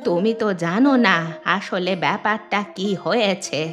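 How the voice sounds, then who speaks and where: A woman's voice: medium pitch, moderate pace, a bit bright, soft background music, close to an everyday voice. A woman voicing an old village grandmother in a cartoon, reasoning in an earnest, conciliatory way. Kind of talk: Bengali cartoon voice acting.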